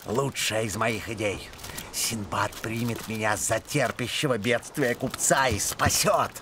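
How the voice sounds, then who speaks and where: An actor playing a scheming, bony old cartoon villain with a grumbling, pompous manner. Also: male voice, on the low side, measured pace, noisy background, natural voice. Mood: smug. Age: older. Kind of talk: animated film voice acting.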